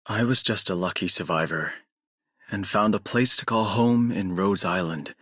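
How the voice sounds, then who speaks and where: A solemn, loyal survivor in a game. His voice is low and husky, earnest in delivery, recorded very dry. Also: moderate pace, fairly bright tone, dry recording. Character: solemn, devoted male survivor, quietly loyal. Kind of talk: scripted game voice lines.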